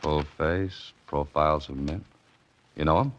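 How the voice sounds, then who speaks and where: An actor playing a tough, dogged amateur sleuth in a 1940s radio detective drama, speaking and narrating tersely in a deadpan way. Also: male voice, a bass voice, a little slow. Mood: probing. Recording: muffled vintage radio recording with music underneath.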